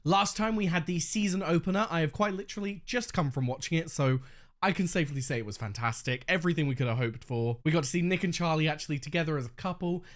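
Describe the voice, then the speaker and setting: A young man hosting a reaction video in casual, candid chat, slightly hoarse as he is losing his voice. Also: a little high, fast talker. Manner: chatty, candid, swears freely.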